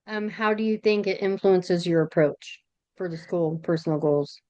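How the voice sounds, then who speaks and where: A woman's voice: fairly low pitch, moderate pace, warm tone, no echo, dull audio. A woman interviewer reads out her questions politely and thanks her guest.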